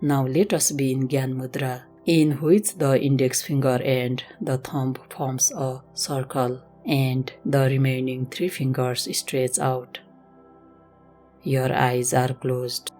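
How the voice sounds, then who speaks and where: A woman instructor leading a slow guided meditation in a gentle, measured, instructional manner. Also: very deep voice, flat delivery. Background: music.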